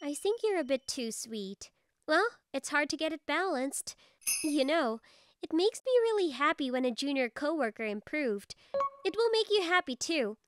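A woman's voice: sits high, big pitch swings, moderate pace, background noise. A woman playing a friendly, supportive young female office coworker in a manga video dub. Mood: friendly, encouraging. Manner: encouraging, friendly.

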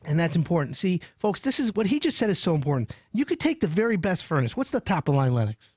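Middle-aged male radio host, playful and emphatic, close-miked in a small studio; lively, mid-high voice, dry sound. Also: fast, slightly rough, no room sound. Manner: animated, emphatic, playful storytelling. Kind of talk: radio call-in interview. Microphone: large studio mic up close.

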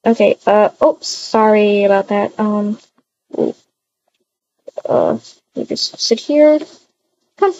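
A woman's voice: mid-range voice, slow pace, long pauses, mellow, muffled recording, a little hiss or hum. A woman improvising a voiced video-game roleplay, chatting and narrating her own actions aloud.

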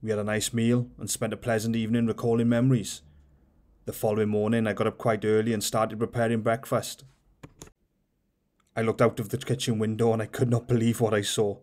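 Man with a lowish voice reading a book aloud. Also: a little mellow. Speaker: audiobook reader and channel host.

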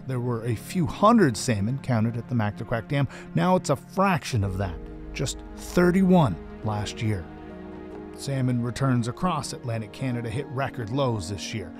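A male reporter narrating a news piece in a measured, scripted way. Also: mid-range voice. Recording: music bed under voice-over.

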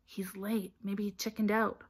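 A woman's voice: medium pitch, little pitch movement, measured pace. A woman voicing an irritable, blunt schoolboy in an audiobook, grumbling and indignant. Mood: muttering. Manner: gruff, muttering, indignant.

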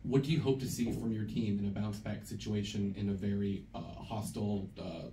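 A man's voice: fairly low pitch, fairly even intonation, brisk, mellow. A man, a sports reporter, asking long, rambling questions at a postgame press conference. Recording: roomy, distant, slightly noisy press room.

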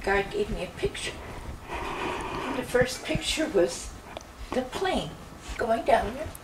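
A woman in the congregation sharing gentle, encouraging words from her seat in a church. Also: a little high, big pitch swings, slow. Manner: gentle, encouraging, often softly spoken. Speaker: congregation member. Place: church sanctuary, from the pews. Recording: distant voice with background noise.

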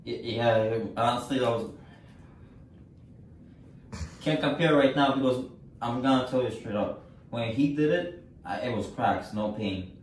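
A man's voice: mid-range voice, moderate pace. A man in his twenties chatting casually as a patient during a treatment. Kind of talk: casual chat. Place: small treatment room indoors. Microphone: distant handheld camera. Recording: very echoey room, distant, faint noise.

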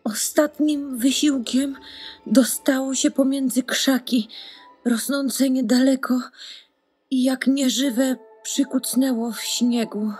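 A woman playing a sad, lonely young duckling in an audio drama, speaking in a plaintive, drawn-out way. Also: high-pitched, fairly even intonation, a little mellow, husky, faint music behind, full character voice. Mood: weak, despairing. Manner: plaintive, drawn-out, repeating words for emphasis.

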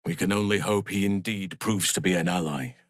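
A low, measured male voice for a solemn elf druid healer in a fantasy game. He speaks earnestly, with formal, careful phrasing. The sound is dry, with no room on it. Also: fairly even intonation, dry recording. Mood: measured, reflective. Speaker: video game character voice actor.

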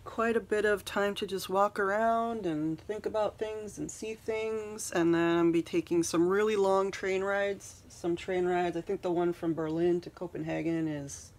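Soft-spoken woman with gravelly, flat voice. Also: mid-range voice, a little slow, few pauses. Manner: rambling, says "um" a lot. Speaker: beauty vlogger. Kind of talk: casual get-ready-with-me chat. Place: small bedroom, indoors. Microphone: phone camera at arm's length.

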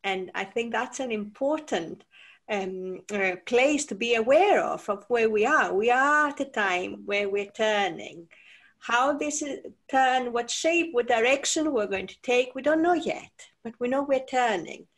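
A woman whose first language is Greek, moderating an online panel in a reflective, halting way over a video call. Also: fairly high pitch, expressive. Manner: reflective, halting with many fillers.

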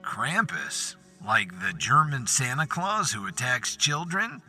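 A man's voice: mid-range voice, big pitch swings, slow pace, pushed and bright, faint music behind, voice pushed up, exaggerated voice. A man voicing a pushy male improv actor who slides into a menacing Krampus. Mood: wary. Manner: smug, then creepy and menacing.

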